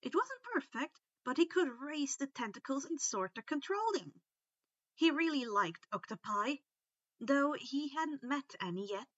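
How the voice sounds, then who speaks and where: A woman narrating a story in an expressive, animated audiobook style, stressing casual asides. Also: sits high, a little slow, no echo, lo-fi sound. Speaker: reader of fan fiction for online listeners.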